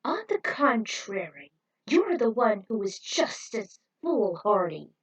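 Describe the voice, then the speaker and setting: A cold male villain who hisses his threats in a slow, low whisper, as a woman reads him aloud. He sounds calm and cruel, never raising his voice. The sound is dry and heard from a little way off. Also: husky, no echo, far from the mic, heavily acted, poor audio. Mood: menacing.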